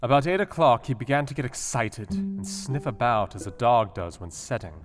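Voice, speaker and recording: A man's voice: mid-range voice, lively intonation, moderate pace, music in the background, lightly acted. An actor playing a melancholy, analytical Victorian asylum doctor, dictating his diary in a formal, reflective way in a radio play. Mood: clinical.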